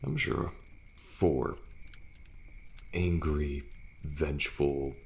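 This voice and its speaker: Male actor as weary but friendly horror-game survivor, ASMR roleplay. Extremely low, heavy fry, hushed and reassuring. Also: slight background noise, full character voice. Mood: calm, resigned. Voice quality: deep, gravelly. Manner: soft-spoken, hushed, unhurried, reassuring. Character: weary, friendly male survivor of deadly trials, resigned yet hopeful. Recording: faint night insect ambience behind.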